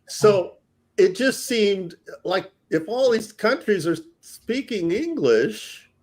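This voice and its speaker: A man's voice: high voice, lively intonation, slow, a little mellow. A man hosting a live-stream chat show, talking in a hesitant, self-deprecating way with frequent chuckles. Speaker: podcast host.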